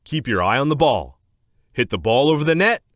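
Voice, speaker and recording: A man narrating a children's educational book aloud in a scripted, clear and deliberate instructional manner. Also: mid-range voice, sing-song, a bit bright, dry recording, clean studio sound.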